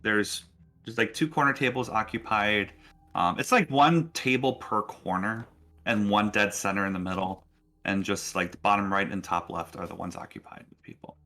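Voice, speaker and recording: A man casually narrating a tabletop roleplaying game as game master, explaining things in a halting, informal way. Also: on the low side, sharp tone.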